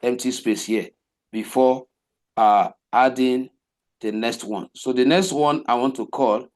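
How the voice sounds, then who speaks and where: A man teaching a coding class, explaining each step slowly and repeating himself, often asking rhetorical questions. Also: medium pitch, steady tone, a little mellow. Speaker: web development teacher. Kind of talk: live coding class walkthrough.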